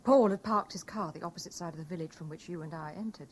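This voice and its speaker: A woman's voice: fairly low pitch, quick pace, slightly rough. An actress playing a mistress confessing her part in a murder plot, with theatrical 1970s radio drama delivery. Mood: cool, matter-of-fact. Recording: noisy old radio broadcast recording.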